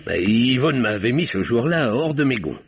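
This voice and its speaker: An actor playing a pompous, self-righteous middle-aged French uncle in a 1950s-style radio drama, speaking formally and defensively. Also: male voice, medium pitch, big pitch swings, normal speed, slightly rough. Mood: flustered, defensive. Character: pompous, indignant, self-righteous older uncle under questioning. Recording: dull vintage radio recording.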